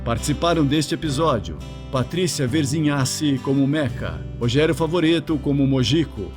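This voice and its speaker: A man's voice: mid-range voice, normal speed. A man working as an announcer, reading scripted closing credits for a radio drama. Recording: roomy echo under clear guitar music.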